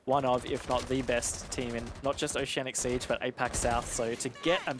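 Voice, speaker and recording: A man's voice: mid-range voice, level tone, normal speed, background noise. A man giving conversational, analytical commentary on a live esports match. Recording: game gunfire and clicks behind voice. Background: game sound with gunshots.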